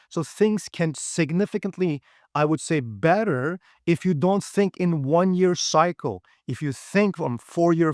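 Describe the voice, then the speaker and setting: A man's voice: a little high, no room sound, studio quality. Straight-talking man. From Uyghur region. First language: Uyghur. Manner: direct, frequent "okay?" and "right?" checks, non-native grammar. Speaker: seminar presenter. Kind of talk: motivational talk.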